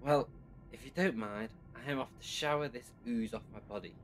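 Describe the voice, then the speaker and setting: A man's voice: medium pitch, moderate pace, lightly acted, poor audio. A man playing a sarcastic but loyal young companion in an audio drama, with a casual manner. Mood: earnest. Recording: clear background noise.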